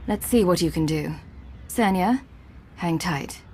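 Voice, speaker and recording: An actress playing a confident, dry-witted agent commander in a video game, with a cool, wry delivery. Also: female voice, on the low side, lively intonation, measured pace, dark tone, slight background noise. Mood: brisk. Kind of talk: naturalistic video game acting.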